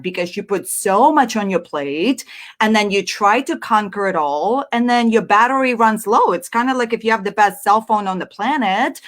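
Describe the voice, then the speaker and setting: A woman in her thirties from Russia, with a Russian accent, giving an energetic and chatty live online business presentation. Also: medium pitch. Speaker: business speaker.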